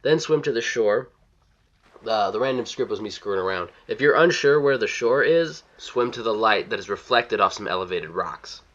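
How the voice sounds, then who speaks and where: A man's voice: lowish voice, animated, normal speed, a bit bright. Man narrating a walkthrough, slightly dull recording. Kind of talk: instructional game walkthrough narration.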